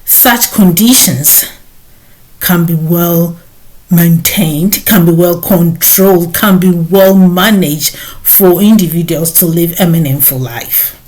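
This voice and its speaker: A middle-aged woman from Ghana, a nurse hosting her own vlog, talking slowly with many hesitant pauses. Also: fairly low pitch, darkish tone. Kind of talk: personal talk to camera.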